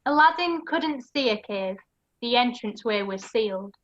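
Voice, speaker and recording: Casting: female performer as cheeky youngster telling a rhyming panto tale, high, bright voice, slightly dull recording. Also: lively intonation, normal speed. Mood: storytelling.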